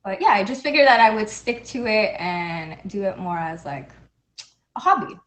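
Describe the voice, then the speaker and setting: A woman's voice: mid-range voice, expressive, normal speed, a bit bright. A woman from Canada whose first language is Portuguese, chatting as an interview guest in an easygoing, modest way. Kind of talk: casual interview.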